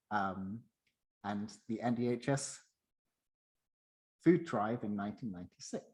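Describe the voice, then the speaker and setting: A man's voice: lowish voice, darkish tone, low-quality recording. A man presenting a scripted talk and narrating a story.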